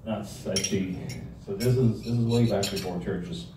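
Low-voiced older Irish man, very echoey room. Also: fairly even intonation, moderate pace. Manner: rambling, wry storytelling. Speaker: club talk speaker. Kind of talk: humorous informal history lecture with slides. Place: indoor hall with projection screen. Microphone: handheld or stand microphone, recorded from audience camera. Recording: echoey room, distant mic, noisy background.

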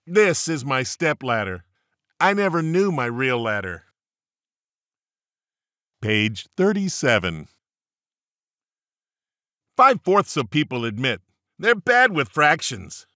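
A man's voice: fairly high pitch, bright, forceful tone, no echo, studio quality. A man narrating an audiobook of jokes, reading page numbers aloud and landing each punchline playfully.

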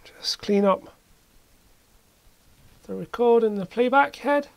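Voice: medium pitch, slow pace, vocal fry, no echo. A person giving casual hands-on repair commentary in a hesitant way, as if thinking aloud. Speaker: repair video maker. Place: indoor electronics workshop.

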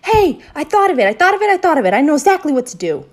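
A woman voicing a loud, bossy, dramatic kindergarten girl in a children's audiobook, exclaiming emphatically and repeating herself. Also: on the high side, brisk, voice pushed up, natural voice. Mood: excited.

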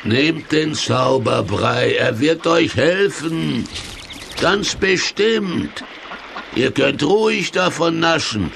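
An actor playing a well-meaning, placating villain's sidekick in a children's radio play, coaxing and reassuring. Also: male voice, a little high, expressive, slow, creaky, a bit bright, slight background noise, full character voice.

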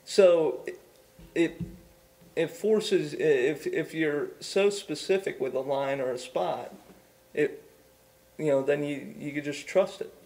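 Gravelly co-host in his thirties. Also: a little high, slow, a little mellow. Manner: frequent stammering restarts and agreeing fillers. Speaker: golf instructor and co-host. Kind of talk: casual studio chat. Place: small studio set with a backdrop.

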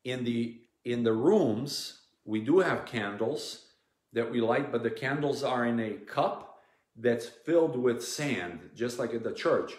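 A man's voice: mid-range voice, takes it slowly. A man in his forties, a priest whose first language is Serbian, speaking English with a Serbian accent as he earnestly shows parishioners things at home, with many ums and restarts. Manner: earnest, plain-spoken, frequent ums and restarts. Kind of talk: informal teaching to parishioners. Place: living room at home. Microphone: device camera at close range. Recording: echoey room.

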